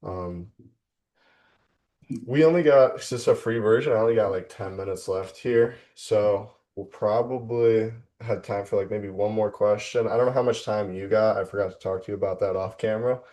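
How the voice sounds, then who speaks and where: A man's voice: lowish voice, normal speed, warm tone. A young man hosting an interview in a casual, slangy way, often saying "man" and "dude". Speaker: interview host. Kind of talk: casual chat.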